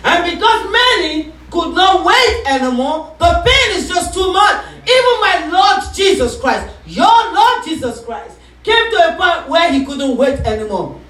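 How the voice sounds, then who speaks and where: Woman pastor, sermon, call-and-response, shouting peaks, echoey room, distant mic. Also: very high voice, big pitch swings, unhurried, hardly any pauses.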